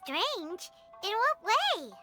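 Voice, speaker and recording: A woman voicing a tiny, perky, childlike fairy companion in a video game, squeaky, blunt and inquisitive. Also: big pitch swings, very slow, over music, exaggerated voice. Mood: curious. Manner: squeaky, blunt, inquisitive, speaks in third person. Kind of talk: video game character dialogue.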